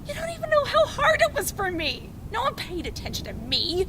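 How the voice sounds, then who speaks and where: An actress playing a bitter, jealous, volatile kidnapper in an audio drama, with a snappish, aggrieved, commanding way of talking. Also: female voice, very high voice, huge pitch swings, fairly quick, exaggerated voice. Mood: bitter fury. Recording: noisy ambience behind the voice.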